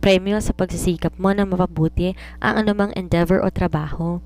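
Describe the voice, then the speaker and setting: A woman's voice: on the low side, steady tone, a little fast, a little mellow, no room sound, natural voice. A woman voicing a confident romance writer in an audiobook, giving earnest, instructive advice like a mentor. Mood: preachy. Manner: earnest, instructive, self-assured. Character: confident, preachy woman romance writer advising her maid. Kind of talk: audiobook character dialogue.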